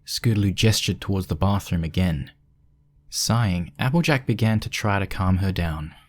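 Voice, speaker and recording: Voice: sits low, moderate pace, darkish tone. A narrator reading a scripted fan fiction story aloud.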